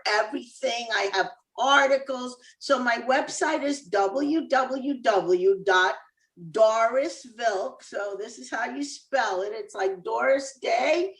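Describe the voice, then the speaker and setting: A woman's voice: a little high, fairly bright tone, roomy sound, distant mic. A woman from Cuba, an author and former dentist, talking casually as an interview guest with easy laughs and many fillers.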